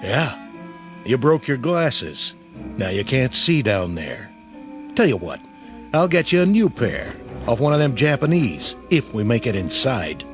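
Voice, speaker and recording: Sardonic army sergeant, lively delivery. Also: male voice, mid-range voice, unhurried, music in the background. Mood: mocking. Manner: sarcastic, needling. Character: hard-bitten, sardonic army sergeant baiting his lieutenant. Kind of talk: radio drama acting.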